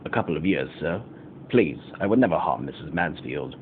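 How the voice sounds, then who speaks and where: A man's voice: very deep voice, expressive, fairly quick, phone-quality sound. A man playing a wary, deferential servant in an amateur radio play, in a thin recording with faint noise. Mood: pleading.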